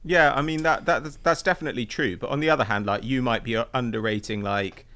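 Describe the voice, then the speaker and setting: A man with a mid-pitched, noticeably bright and forward voice. He hosts a casual football podcast and talks his points through conversationally, reasoning as he goes. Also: fast. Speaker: podcast host.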